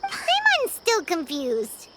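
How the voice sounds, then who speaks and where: A woman voicing a tiny, excitable, childlike fairy companion in a video game, exclamatory and easily confused. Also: very high voice, takes it slowly, background noise, exaggerated voice. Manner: refers to self in third person, exclamatory. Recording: game music behind voice. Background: game music.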